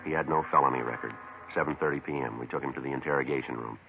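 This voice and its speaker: An actor playing a terse, unflappable American police detective in a 1950s radio drama, clipped and businesslike. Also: male voice, a bass voice, racing, vocal fry. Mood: deadpan, procedural. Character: terse, unflappable male police detective narrating his case. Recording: tinny, noisy 1950s radio recording.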